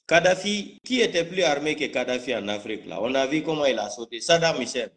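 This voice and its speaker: A man's voice: a little high, lively intonation, fairly quick, fairly bright tone. A man with a Guinean French accent speaking off the cuff in live political talk, outspoken and combative, repeating phrases for emphasis. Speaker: political activist commentator.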